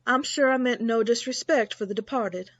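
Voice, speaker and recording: A woman's voice: fairly high pitch, normal speed, dry recording, lo-fi sound, close to an everyday voice. A woman playing a wry, matter-of-fact frontier town doctor in a Western radio drama, with a clinical manner.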